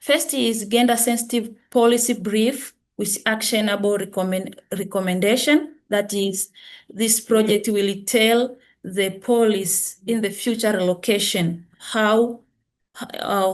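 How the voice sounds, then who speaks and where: A woman reads through her project presentation over a video call. She speaks haltingly, stopping to fix words midway, in a mid-range voice. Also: medium pitch, fairly even intonation. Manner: halting, with self-corrections.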